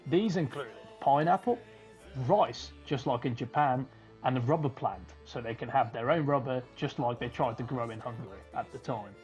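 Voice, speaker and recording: A man's voice: mid-range voice, normal speed, darkish tone, lo-fi sound. A man from Slovakia hosting an informal explainer in an emphatic, colloquial way, often asking rhetorical questions. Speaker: video host. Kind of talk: informal explainer narration to camera. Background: background music.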